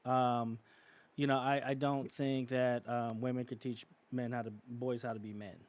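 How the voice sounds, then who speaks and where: A man from California talks through his views on a podcast in a loose, conversational way. He circles around his points with fillers like "you know" and "um", restarting sentences as he goes, at a middling pitch. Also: flat delivery, moderate pace. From California, United States. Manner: rambling, many fillers and restarts. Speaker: podcast co-host. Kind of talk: casual opinionated discussion.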